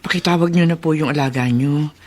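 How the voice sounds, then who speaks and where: A woman playing a brisk mistress of the house in a radio drama, with a Filipino accent. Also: deep voice, natural voice. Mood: brisk, polite. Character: well-off mistress of the house, mother, brisk employer. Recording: faint ambient noise behind the voice.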